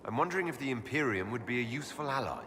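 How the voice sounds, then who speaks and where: An actor playing an earnest, questioning elf leader in a video game, speaking in a measured, direct way. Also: male voice, mid-range voice, lively intonation, lightly acted. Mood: curious. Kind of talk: video game dialogue acting. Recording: reverberant hall, faint background noise.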